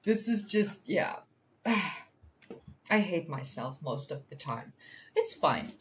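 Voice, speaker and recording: A Dutch woman hosting a science livestream, speaking English as a second language with a Dutch accent, reading from a study and chatting to viewers in an excitable, self-deprecating way with casual swearing. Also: sits low, slow, a little hiss or hum. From Netherlands. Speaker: science communicator and livestream host.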